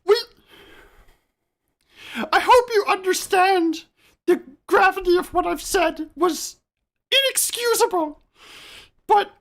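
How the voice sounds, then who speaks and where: A small cartoon dinosaur with an extremely high voice. The creature speaks slowly and haltingly, pleading for forgiveness. It is overwrought and full of self-pity, in the style of a mock apology video. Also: male voice, a bit bright, full character voice.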